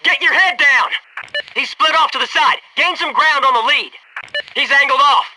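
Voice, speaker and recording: A man's voice: extremely high pitch, normal speed, bright, forceful tone, no echo, full character voice, poor audio. An American man voicing a wisecracking, gung-ho special forces soldier in a video game, with a sarcastic, informal delivery. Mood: urgent, shouting. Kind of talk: video game combat barks and quips.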